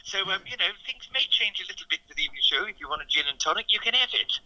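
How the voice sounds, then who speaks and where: Posh, theatrical man, very quick. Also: high voice, expressive, pushed and bright, no room sound, rough recording. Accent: 1950s Received Pronunciation. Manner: theatrical, plummy diction. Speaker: comedy entertainer. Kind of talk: radio interview guest.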